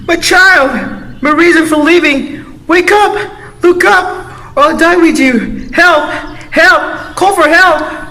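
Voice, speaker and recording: A man's voice: very high voice, takes it slowly, sharp tone, pitched above the performer's own voice, heavily acted. A man voicing a distraught noble mother with wailing exclamations in an amateur radio drama. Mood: wailing grief.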